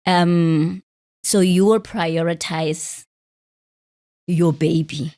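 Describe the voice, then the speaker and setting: Woman, late thirties, lowish voice, candid, self-deprecating, conversational interview. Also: steady tone, very slow, mellow. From Free State, South Africa. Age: late 30s. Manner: open, self-deprecating, laughs easily. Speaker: podcast host, interviewed guest.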